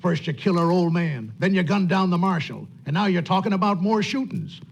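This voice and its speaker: A jittery outlaw henchman from a 1940s radio western. The voice is gravelly and rough, sitting a little high, and talks tough with a cowardly edge. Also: lively intonation, a little fast, muffled recording, heavily acted. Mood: nervous defiance. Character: nervous, grumbling outlaw henchman, tough-talking but cowardly. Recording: old 1940s radio recording.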